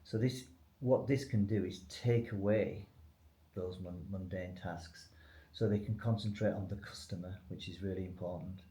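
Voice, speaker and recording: A man's voice: sits low, fairly even intonation, normal speed, mellow. A man speaking unscripted as a company spokesman in a business webinar, explaining things in an informal, loosely structured way. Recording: echoey room with faint noise.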